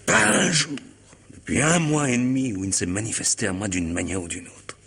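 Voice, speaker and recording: An actor playing an anguished, disillusioned man who feels hunted, halting and brooding, in a French radio mystery drama. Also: lowish voice, creaky, a bit bright, slightly rough, exaggerated voice. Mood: agitated. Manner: halting, repeats words, brooding.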